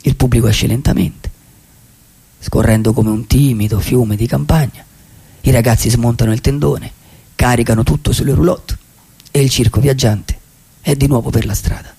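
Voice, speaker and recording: A male actor playing a melancholy wandering drifter who tells his life in a poetic, theatrical radio monologue with a husky, gravelly voice. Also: mid-range voice, steady tone, warm tone. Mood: storytelling. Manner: theatrical, lyrical, with pauses for effect.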